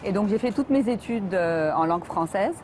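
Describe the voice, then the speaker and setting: A middle-aged woman from Lebanon, a guest on a television talk show, answering earnestly and pausing often with hesitations. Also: mid-range voice, lively intonation, darkish tone, a little hiss or hum. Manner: earnest, many hesitations with euh. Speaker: environmental activist guest.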